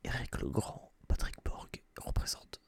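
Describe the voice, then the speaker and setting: A young man whispering a casual, slangy, rambling review in breathy ASMR style, close to a binaural microphone. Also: unhurried, dry recording. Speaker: ASMR video creator.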